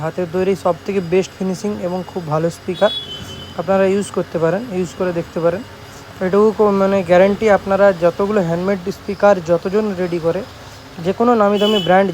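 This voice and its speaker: Fast, high-pitched man, casual vlog. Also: slight background noise, poor audio. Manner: repeats himself, often tags statements with check-in questions. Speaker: speaker maker presenting shop's work. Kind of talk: casual product showcase vlog.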